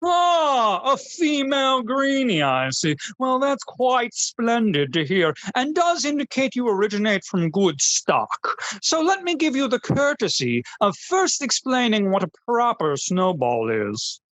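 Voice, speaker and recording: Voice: mid-range voice, very animated, measured pace, fairly bright tone, dry recording. An actor voicing a pompous, haughty snob who hosts a parody radio show about snowballs in florid diction. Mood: condescending. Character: pompous aristocratic snobbish New Orleans snowball connoisseur host. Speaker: host of a food radio show.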